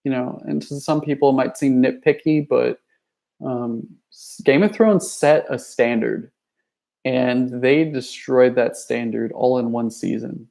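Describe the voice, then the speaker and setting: A young man talks off the cuff, his voice gravelly with a rough edge. He wanders from thought to thought, stacking fillers and false starts like someone chatting on a livestream. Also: medium pitch, fairly even intonation, a little slow, mellow. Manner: rambling, many fillers and restarts; reads viewer chat messages aloud. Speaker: youtuber. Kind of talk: casual livestream chat.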